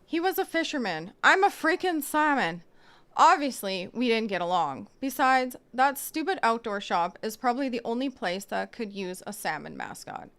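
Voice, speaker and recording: A woman's voice: fairly high pitch, expressive, moderate pace, a bit bright. A woman playing an indignant salmon mascot in an audio comedy, snippy and sarcastic. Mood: indignant, huffy. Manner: huffy, sarcastic.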